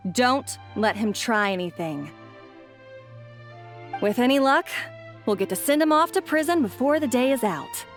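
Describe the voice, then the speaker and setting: An upbeat police officer. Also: female voice, on the high side, animated, soft background music. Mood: satisfied. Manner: brisk, commanding, upbeat. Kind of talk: game cutscene dub.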